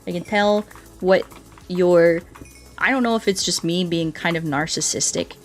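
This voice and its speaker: An American woman chatting casually as she streams a game, telling things in an animated way and repeating herself for emphasis, with music behind her. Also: fairly low pitch, measured pace. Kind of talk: casual chat while playing a game, reading viewer comments.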